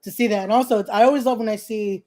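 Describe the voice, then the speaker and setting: A podcast host from Ecuador leads a relaxed interview with a mellow, darker tone. The host talks with eager enthusiasm and often doubles back on words mid-sentence. The voice sits at a middling pitch. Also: very fast. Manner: enthusiastic, repeats words while searching for them. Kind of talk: casual interview chat.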